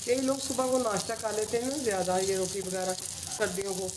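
A woman's voice: mid-range voice, brisk, noisy background. Homely middle-aged woman chatting while cooking. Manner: homely, plain-spoken, interjecting. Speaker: host's mother, the cook. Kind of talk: casual chat while cooking. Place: home kitchen.

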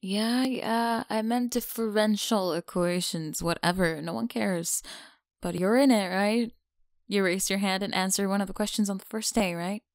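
Bratty American college bully girl, creaky voice, acted by a woman. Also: mid-range voice, moderate pace, no echo, studio quality, lightly acted. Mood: bossy, dismissive. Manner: mocking, sighing, casual slangy talk. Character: mean, teasing, bratty female college bully. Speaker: ASMR roleplay performer. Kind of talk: ASMR audio roleplay, one-sided dialogue.

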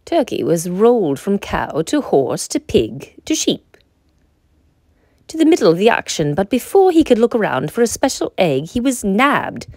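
A woman reading a children's story aloud as narrator, in an expressive, dramatic manner. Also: medium pitch, moderate pace, no echo, studio quality.

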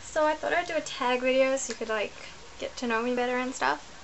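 A young woman from New Zealand rambles cheerfully to her laptop webcam, correcting herself as she goes. Her voice sits slightly high. A faint hiss runs under the small bedroom recording. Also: fairly quick, creaky, a bit bright, low-quality recording. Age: young adult. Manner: chatty, rambling, self-correcting. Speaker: vlogger. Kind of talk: casual chat to camera.

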